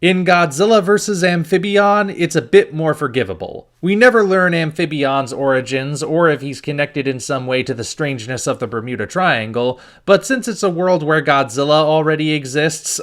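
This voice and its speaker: A man's voice: a little high, normal speed, few pauses, a bit bright. A man reading a scripted review as host, with a wry, critical manner.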